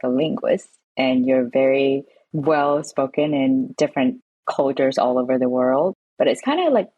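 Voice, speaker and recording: A woman's voice: low-pitched, darkish tone, breathy edge, no echo, muffled recording. A woman from San Francisco, a podcast guest, explaining her work in a casual, loose way with plenty of "kinda" and "like". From San Francisco, USA. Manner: explanatory, uses fillers like "kinda" and "like". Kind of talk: casual interview answers. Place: home or office, evening.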